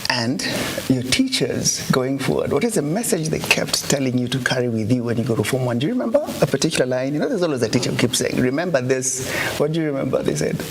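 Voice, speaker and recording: A man asks questions in a bouncy, sing-song voice, teasing and joking as he interviews a pupil. His voice has a gravelly edge, and steady noise runs under the recording. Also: mid-range voice, fast. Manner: playful, teasing, animated questioning. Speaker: news show host interviewing a pupil. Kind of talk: casual on-the-spot interview. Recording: noisy background ambience.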